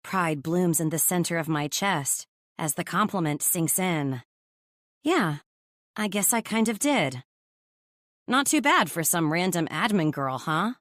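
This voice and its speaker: Low-voiced, candid female office admin played by a woman. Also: animated, a little slow, a bit bright, dry recording, clean studio sound. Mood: quietly proud. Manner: candid, self-deprecating. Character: Beck, modest, plucky young female office admin. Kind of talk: first-person audiobook narration and dialogue.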